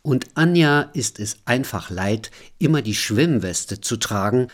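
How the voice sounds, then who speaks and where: A man narrating a radio documentary feature in a calm, measured way. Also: medium pitch.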